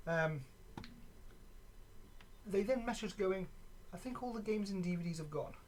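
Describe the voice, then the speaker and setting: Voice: mid-range voice, a little slow, a little mellow. Casual vlogger with noisy background. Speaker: gaming video creator. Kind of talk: casual vlog chat showing items.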